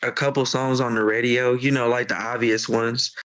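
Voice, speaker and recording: A man's voice: mid-range voice, level tone, normal speed, a bit bright. A man in his twenties, a musician guest chatting casually on a podcast, laid-back and full of slang. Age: 20s. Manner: laid-back slang, says bro a lot.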